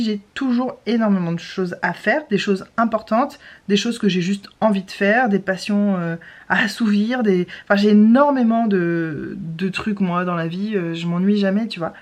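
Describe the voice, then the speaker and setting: Young woman vlogging, chatty and rambly, lots of ums, middle pitch. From France. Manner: chatty, rambling with many fillers. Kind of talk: casual chat to camera.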